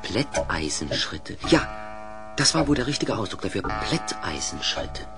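A man narrating a children's audio play as a dramatic, deliberate storyteller with suspenseful pauses. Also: on the low side, big pitch swings, music in the background.

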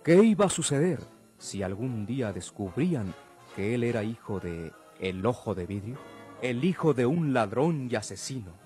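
A Mexican man narrates a classic radio serial with a dark, warm voice over soft music. His delivery is theatrical and rhetorical. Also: medium pitch, big pitch swings, normal speed. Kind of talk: dramatic scripted narration, old radio serial.

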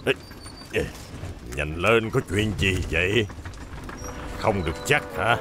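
A man's voice: lowish voice, takes it slowly, background noise. A man voicing a caring middle-aged builder in a dubbed children's cartoon, with a sincere, earnest delivery. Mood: urgent. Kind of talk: dubbed children's animation acting. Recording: music behind the voice.

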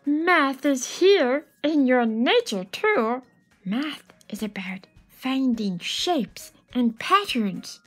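A woman's voice: sits high, very animated, extremely slow, a bit bright, soft background music, heavily acted. A woman voicing a friendly, enthusiastic space alien who patiently explains math in a picture book read-aloud. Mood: upbeat, explaining.

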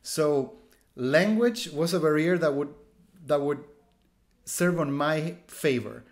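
A man's voice: a little high, takes it slowly. A man in his thirties from Chile, speaking English with a Chilean accent as a second language, telling stories in a casual interview over a headset on a video call, full of fillers and restarts. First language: Spanish. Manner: rambling, frequent "like" fillers and restarts, animated gestures. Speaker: street photographer guest. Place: small room at home, indoors.

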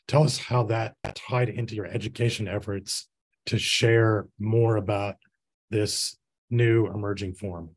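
A man in his forties moderating a discussion, speaking conversationally in halting, measured phrases. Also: on the low side, steady tone, low-quality recording. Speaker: panel moderator. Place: indoor room with bookshelves, on a video call.

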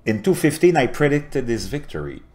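A man in his fifties from Montreal, a native French speaker with a French Canadian accent, talking straight to camera in an earnest political monologue. He swings between quiet murmurs and loud emphatic bursts. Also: medium pitch, very animated, moderate pace. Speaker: political video host. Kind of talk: impassioned political commentary to camera. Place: small indoor home setup with a virtual backdrop.

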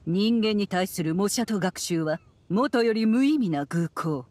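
A woman's voice: medium pitch, expressive, unhurried, a little mellow, slight background noise, pitched below the performer's own voice, natural voice. A woman in an anime game putting on a stern old female master, archaic, imperious and disdainful. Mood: cold, disdainful. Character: stern, haughty old female master astrologer, being mimicked. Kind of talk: Japanese anime game acting.

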